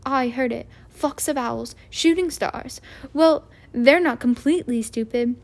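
Woman doing a strict snappy witch teacher, high and loud, impatient. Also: measured pace, vocal fry. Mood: irritable. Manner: clipped, sharp, impatient.

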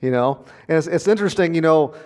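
A man's voice: a little high, quick pace, darkish tone, big-room sound. A man preaching a sermon in an earnest, conversational way, with small laughs as he talks. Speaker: pastor.

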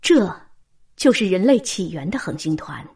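A woman voices an all-knowing supercomputer in a sci-fi radio play. The voice is dark, hazy and impassive. It comes from some distance off the mic. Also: lowish voice, very animated, moderate pace, husky, exaggerated voice. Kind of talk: audio drama dialogue.

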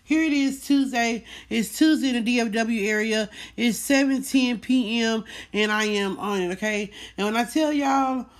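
A woman's voice: on the high side, moderate pace, few pauses. A woman hosting a podcast, talking in a casual, informal way and repeating phrases for emphasis. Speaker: podcast host. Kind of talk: casual chatty monologue.

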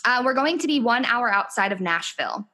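Bright-voiced woman guest, chatty. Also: a little high, lively intonation, a little fast. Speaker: podcast guest. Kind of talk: casual chat.

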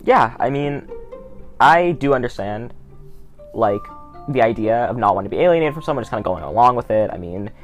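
A man's voice: medium pitch, animated, a little fast, faint music behind. A teenage male with an American accent, hosting a casual interview podcast with sarcastic asides.

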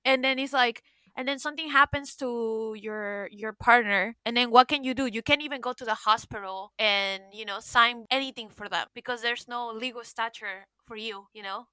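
Woman from miami and peru, rambly podcast talk full of fillers, smooth bright voice. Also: a little high, no echo. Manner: chatty, many fillers like "like" and "you know". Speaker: podcast co-host. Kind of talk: casual chat.

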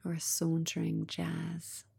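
A woman guides a meditation in a low, husky near-whisper with a creaky edge. She speaks very slowly and calmly, in short phrases with long pauses. Her tone stays soft and even throughout.

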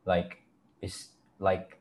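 A man's voice: very slow, darkish tone. A man with a Vietnamese accent chatting casually as a podcast host, in informal non-native English. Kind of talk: casual chat.

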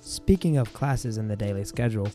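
Mellow-voiced young male host. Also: lowish voice, animated, soft background music. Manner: measured, reads linking lines. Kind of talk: scripted narration.

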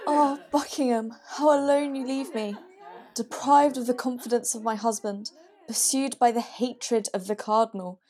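A woman's voice: on the high side, steady tone, normal speed, a little mellow, natural voice. A woman playing a proud, persecuted queen in a period audio drama, with formal, theatrical diction. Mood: mournful.

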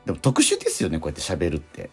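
A middle-aged man talks casually as a radio host, his voice deep and rough-edged. His pitch swings up and down in a lively way as he thinks aloud in halting, reflective phrases. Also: faint music behind.

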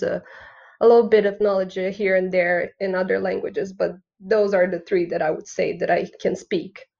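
Woman, gravelly, hesitant. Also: mid-range voice, darkish tone, muffled recording. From Brazil. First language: Brazilian Portuguese. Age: 20s. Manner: hesitant, many uh fillers. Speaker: university student guest. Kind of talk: podcast interview answers.

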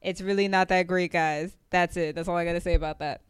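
A woman's voice: fairly low pitch, fairly even intonation, fast talker, clean tone, no room sound, clean studio sound. A woman co-hosting a casual group chat, playful and teasing as she talks. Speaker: podcast co-host.

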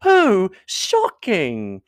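A man's voice: a little high, huge pitch swings, moderate pace, no echo, pitched above the performer's own voice. Haughty young society woman, read by a man; affected, swooping, dry close sound. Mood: scandalized.

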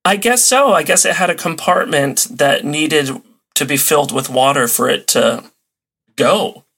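Guy on a podcast just chatting, animated, really bright sharp voice. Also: on the high side, a little slow, vocal fry, husky. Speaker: podcast guest. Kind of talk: casual chat.

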